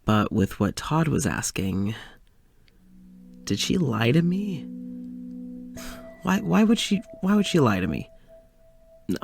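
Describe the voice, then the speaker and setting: A man playing an uneasy, weary scientist in an audio drama, confiding to a recorder in a halting way. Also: mid-range voice, sing-song, vocal fry, faint music behind, heavily acted. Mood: weary, troubled. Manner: hesitant, halting, sighing. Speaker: fiction podcast lead actor. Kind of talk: audio drama, confessional monologue.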